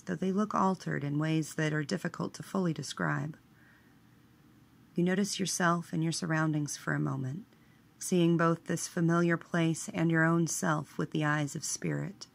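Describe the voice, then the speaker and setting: A woman leading a scripted guided meditation in a measured, hushed manner, letting her phrase endings trail away. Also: low voice, fairly even intonation, gravelly.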